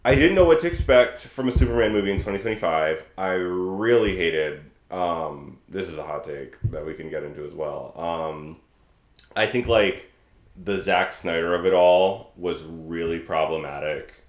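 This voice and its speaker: A man's voice: sits low, normal speed. A man speaking as a podcast co-host in casual chat, earnest and opinionated. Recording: echoey room.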